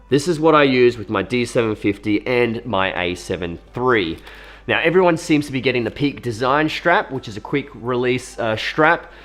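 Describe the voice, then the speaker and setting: A young Australian man talks straight to his viewers in a relaxed, upbeat way, his voice a little croaky from a cold. His pitch moves a lot and sits in a middle register, and faint music plays behind him. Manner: chatty, upbeat, with fillers and asides. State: hoarse from a cold. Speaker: video creator reviewing camera gear. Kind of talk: casual talk to camera.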